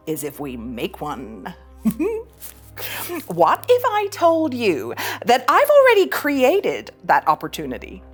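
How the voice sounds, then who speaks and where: A woman voicing a cocky, mischievous young female starship ensign in a scripted animated sci-fi read, flippant and teasing. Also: on the high side, full character voice. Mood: griping, insistent. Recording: music under the voice.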